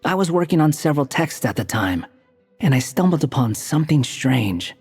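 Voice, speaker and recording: A man voicing an aloof, sharp-tongued young wanderer in a video game, dry and curt. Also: a little high, very animated, normal speed, creaky, husky, exaggerated voice. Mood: serious. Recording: echoey game audio with music.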